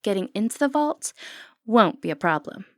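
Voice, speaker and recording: A woman's voice: medium pitch, very animated, normal speed, no room sound, clean studio sound, close to an everyday voice. A woman acting a sly, flirtatious thief in a fantasy ASMR roleplay, with a teasing, confident, wry way of talking. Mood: brisk, confident scheming.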